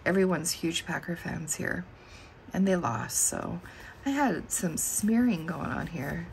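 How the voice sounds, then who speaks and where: A middle-aged woman with a gravelly, worn voice, as she is losing it. She talks slowly in a low register, musing aloud while flipping pages, with faint room ambience behind her. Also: expressive, a little mellow, a little hiss or hum. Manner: slow and musing, thinking aloud. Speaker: planner channel host. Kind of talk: casual plan-with-me chat. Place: indoors at a desk.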